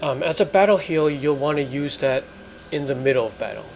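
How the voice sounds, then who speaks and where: A man giving halting, explanatory let's play commentary as host of a gaming video. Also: on the high side, expressive, creaky, slight background noise.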